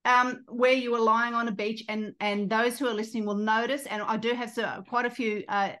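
A woman hosting a podcast in a conversational interview style, with halting, self-interrupting phrasing. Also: a little high, little pitch movement, bright, forceful tone. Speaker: podcast host.

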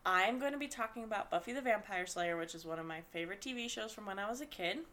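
Young woman, slight lisp, very animated pitch, rambling casual podcast chat. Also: fast talker. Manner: giggly, rambling, laughs often. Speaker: podcast co-host.

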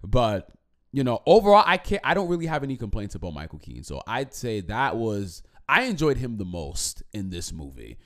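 A man co-hosting a podcast, chatting casually about a movie in a rambling way, with many restarts and a throat that is going hoarse. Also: on the low side, fairly quick. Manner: rambling, repeats and restarts, animated. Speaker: podcast co-host. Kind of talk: casual movie review chat.

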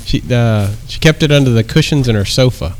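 Relaxed man with faint background hiss. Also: mid-range voice, a little fast. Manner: relaxed storytelling with many fillers. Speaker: podcast co-host. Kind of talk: casual chat.

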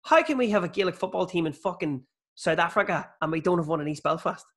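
A man with a Northern Irish accent in casual chat, animated and sweary as he tells stories. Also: high voice, fast. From Northern Ireland, near South Armagh.

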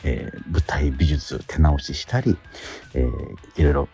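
A middle-aged man chatting casually as a radio host, animated but sometimes halting and reflective. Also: very deep voice, soft background music. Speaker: radio host and theatre actor. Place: theatre auditorium during rehearsal.

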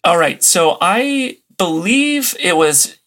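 A man's voice: high voice, very animated, takes it slowly, fairly bright tone. A man chatting casually and enthusiastically as a podcast guest in an interview.

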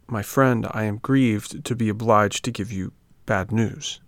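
A man doing a sighing little female marmot from a storybook, grave and gentle. She speaks slowly and softly, with a gravelly rasp. The sound is close and dry. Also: fairly low pitch, no room sound. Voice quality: slow, grave, soft.

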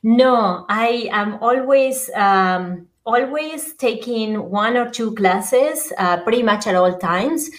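A woman's voice: fairly high pitch, slow, few pauses. A middle-aged woman from Argentina with an Argentine Spanish accent, chatting as an interview guest in a hesitant way, full of ums and uhs and small laughs. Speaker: guest, app creator. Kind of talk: casual interview chat.

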